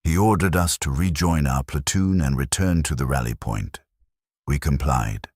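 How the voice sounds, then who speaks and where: A man narrating a scripted first-person war story in short, clipped sentences with a grave, measured delivery. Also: very deep voice, darkish tone, husky, no room sound, clean studio sound.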